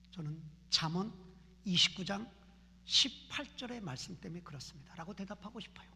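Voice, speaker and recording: A woman's voice: mid-range voice, vocal fry. A woman pastor from Seoul preaching a fervent sermon in a church, repeating phrases in rising prayer chants. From Seoul, South Korea. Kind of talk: impassioned sermon. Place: church sanctuary. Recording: reverberant church hall.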